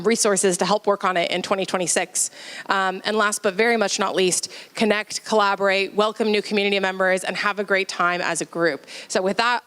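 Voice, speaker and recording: A woman hosts a conference kickoff in a hall, her voice smooth and resonant. Long reverb trails her words. She sounds upbeat and cheers the room, with frequent ums. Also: medium pitch, fairly bright tone. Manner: upbeat, cheering, many fillers. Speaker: event host.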